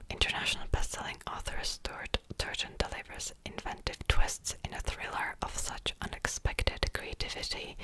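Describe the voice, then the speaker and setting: A woman from the Republic, whispering softly in a slow, rambling ASMR chat with small fillers. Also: rough recording. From Czech Republic. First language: Czech. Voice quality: soft unvoiced whisper. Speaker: ASMR creator. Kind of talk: whispered ASMR book haul.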